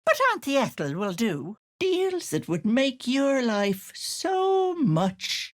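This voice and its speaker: An actress playing a sly old hag who poses as a sweet auntie in a video game, cooing and wheedling with menace underneath. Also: female voice, a little high, big pitch swings, slow pace. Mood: sinister bargaining. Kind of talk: theatrical video game character acting.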